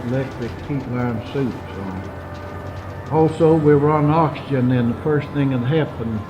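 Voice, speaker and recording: An older man, a war veteran, giving personal testimony in an earnest, deliberate way with dramatic pauses. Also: medium pitch, muffled recording. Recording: music under the voice.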